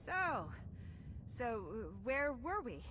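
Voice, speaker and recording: An actress playing a slippery, sarcastic street informant in a video game, with glib delivery. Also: female voice, a little high, big pitch swings, slow, fairly bright tone, slight background noise, heavily acted. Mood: nervous, evasive.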